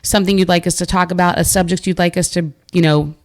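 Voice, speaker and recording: A woman's voice: fairly low pitch, fairly even intonation, fast talker. A woman with an American accent hosts a casual live podcast, blunt and opinionated, often putting questions and swearing casually. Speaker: podcast host.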